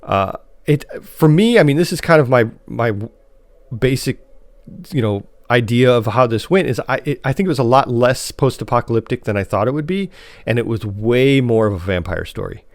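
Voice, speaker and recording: A man with a mid-pitched voice talks casually as a podcast co-host. He feels his way through his opinions in a loose, rambling style, recorded dry with no room sound. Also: lively intonation, moderate pace, no room sound. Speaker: podcast co-host reviewing post-apocalyptic media. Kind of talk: casual review chat.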